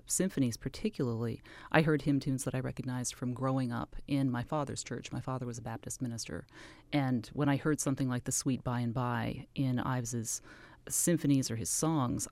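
A woman's voice: a bass voice, a little mellow, no echo. A woman from Canada, an author and music scholar, answering questions as a radio interview guest in a thoughtful, explanatory way.

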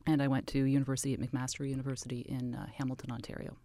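A woman's voice: rumbling low, little pitch movement, racing, dark tone, no room sound. A woman from Canada, an author and music scholar, answering questions as a radio interview guest in a thoughtful, explanatory way.

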